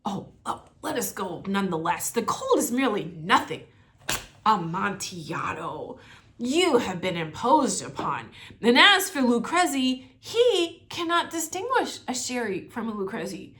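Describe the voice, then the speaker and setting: Woman acting boastful tipsy storybook nobleman, pompous, exclamatory, very animated pitch, bright forceful tone. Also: measured pace. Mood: dismissive, insistent. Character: boastful, tipsy, proud nobleman, easily goaded wine connoisseur. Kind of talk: dramatic story read-aloud.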